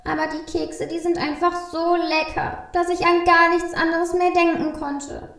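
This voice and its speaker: A woman's voice: extremely high pitch, level tone, measured pace, creaky, a bit bright, echoey room, heavily acted. A woman playing a small, fretful, guilty male Christmas elf who stammers in a children's radio play. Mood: confessing, ashamed. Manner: fretful, stammering, repeating exclamations of dismay.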